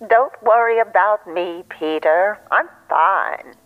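An actress playing a gentle, affectionate elderly aunt in an audio drama, tender and reassuring, through a telephone filter. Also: female voice, mid-range voice, slow pace. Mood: tender, proud. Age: older. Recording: tinny phone line.

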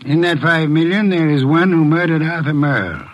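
An actor playing a shrewd, imperious detective with pompous, precise diction, in classic radio mystery style. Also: male voice, fairly high pitch, normal speed, lo-fi sound, close to an everyday voice. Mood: brisk, authoritative. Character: shrewd, imperious middle-aged detective, dry and condescending. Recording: old radio drama recording.

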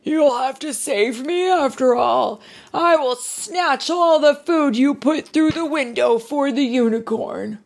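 A woman's voice: sits high, takes it slowly, creaky, pitched above the performer's own voice, full character voice. A woman voicing a huge, boastful male giant who whines about hunger in a storybook reading. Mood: gleeful. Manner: whining, then gleeful.

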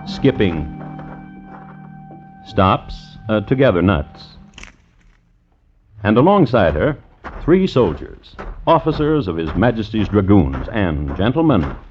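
A man hosting and narrating a scripted true crime radio show in a dry, droll, deliberate manner. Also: fairly low pitch, big pitch swings. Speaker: host and narrator. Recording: muffled vintage radio recording. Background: music.

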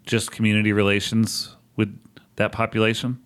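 A man's voice: lowish voice, monotone, normal speed, a bit bright. A man hosting an interview, asking long, roundabout questions in a casual conversational way. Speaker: interviewer, host.

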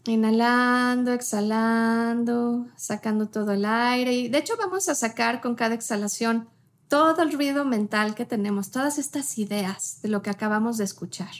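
A woman leading a guided meditation with gentle instructions, in short phrases with pauses between them. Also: a little high.

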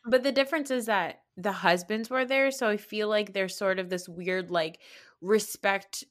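A woman's voice: mid-range voice, normal speed, a bit bright. A woman co-hosting a podcast, recapping reality TV in a blunt, casual way and laughing often. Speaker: podcast co-host.

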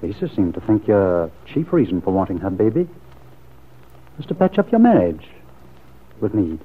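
A man's voice: on the low side, big pitch swings, normal speed, slightly rough. An actor playing a suspicious, brooding man in a classic radio soap opera, earnest and probing, with hesitant phrasing. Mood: wounded. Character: suspicious, brooding lover pressing for the truth. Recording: muffled lo-fi vintage radio recording.

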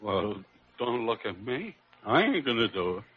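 Streetwise male ambulance driver, soft-hearted joker, lively swooping delivery, vintage radio drama, muffled sound. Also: on the low side, moderate pace, husky. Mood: anxious reluctance. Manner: slangy, wisecracking working-class talk. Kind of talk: 1940s radio drama acting. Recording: dull, lightly noisy old radio recording.

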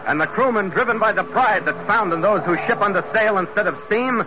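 Through the dull sound and thick hiss of an old radio recording comes a tough skipper played by a male actor. The voice sits high for a man, with a wry, hard-boiled delivery suited to 1940s adventure serials, in narration and dialogue alike. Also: brisk, lightly acted. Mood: stirring narration. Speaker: ship's captain and narrator.

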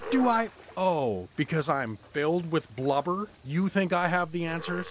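A man's voice: on the high side, animated, a little slow, slight background noise. A man playing a cowardly, insecure, chubby hero who rambles and pleads whinily in a fantasy comedy audio drama. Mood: sheepish, hurt.

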